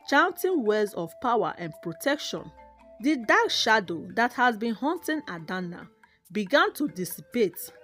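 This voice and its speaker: A woman narrates a scripted folktale in an expressive, dramatic manner, with background music. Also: on the high side. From Nigeria. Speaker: storyteller, narrator.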